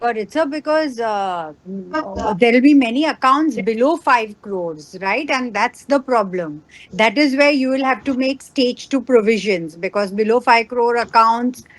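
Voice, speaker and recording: A woman speaks as an analyst on an earnings call. Her voice sits a little high and she puts her questions politely. The sound is dry, with no room echo. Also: lively intonation, a little slow.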